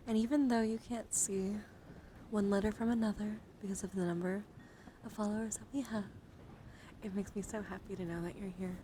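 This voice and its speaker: Soft-spoken woman doing ASMR, noisy microphone. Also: medium pitch, fairly even intonation, brisk. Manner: soft-spoken, often whispering, repeats soothing words. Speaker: livestream host. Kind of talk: ASMR livestream, casual chat slipping into whispers. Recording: clearly noisy home microphone.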